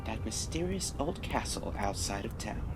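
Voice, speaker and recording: A man playing a self-important amateur sleuth, dramatic and excitable in old-time radio mystery style. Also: on the low side, moderate pace, loud music behind the voice. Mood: brooding narration.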